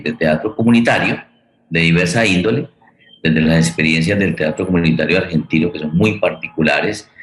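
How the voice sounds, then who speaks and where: A middle-aged or older man moderating a forum with reflective conversational commentary, halting and repeating words as he goes. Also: on the low side, fairly bright tone. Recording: roomy echo on voice.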